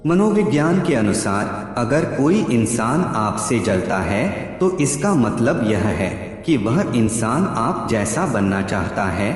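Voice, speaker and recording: Male narrator, scripted and deliberate, animated intonation, drenched in echo. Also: mid-range voice. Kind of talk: scripted narration of psychology facts. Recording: heavy echo, long reverb, background music. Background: music.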